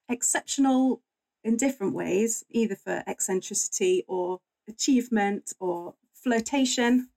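Woman historian with a creaky edge. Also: fairly low pitch, darkish tone, no room sound, poor audio. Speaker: guest author and historian. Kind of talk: conversational history interview.

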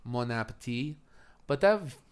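A man's voice: mid-range voice, expressive, unhurried, slight background noise. A man playing a guest director in a comedic mock interview, mock-earnest and playful.

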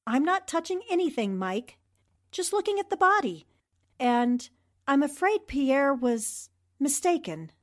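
A woman playing a sharp, self-assured newspaper reporter, composed and observant, in 1940s radio drama style. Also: high voice, sing-song, unhurried. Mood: cool, observant. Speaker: reporter investigating a murder.

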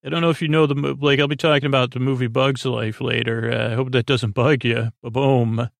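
Goofy guy rambling on a sleep podcast, lots of vocal fry, dry close sound, keeps trailing off. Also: medium pitch, flat delivery, no room sound. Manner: meandering, halting, trailing off and repeating himself; goofy, whimsical, self-deprecating. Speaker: podcast host. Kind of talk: rambling, unscripted bedtime podcast monologue.

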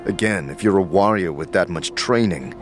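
A man's voice: low voice, moderate pace, soft background music. A man playing in a tabletop game, asking blunt, wry questions in casual roleplay. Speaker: player.

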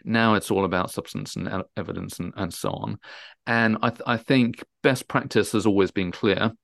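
A man speaking as an expert guest in an interview, emphatic, often repeating and rephrasing his words haltingly. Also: lowish voice, creaky, a bit bright, dry recording, studio quality. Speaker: transfer pricing specialist, interview guest.

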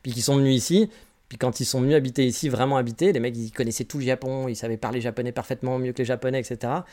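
A man's voice: medium pitch, steady tone, racing, dark tone. A man in his forties from France, hosting a casual solo podcast. He answers listener questions with candid, rambling advice and often restarts his sentences. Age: 40s. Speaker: podcast host.

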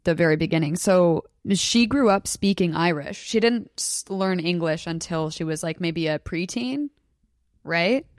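An excitable young woman from Southeast Alaska chats on a podcast and shares trivia with gleeful enthusiasm. Her voice sits on the low side, and the sound is close and dry with no room echo. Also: a little slow. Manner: excited, storytelling, breaks into laughter. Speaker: podcast guest. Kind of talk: casual chat.

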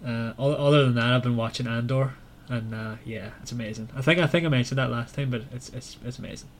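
A man's voice: medium pitch, fast talker. A man co-hosting a podcast, chatting casually about books in hesitant, rambling phrasing. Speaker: podcast co-host. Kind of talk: casual book chat. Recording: clear background noise.

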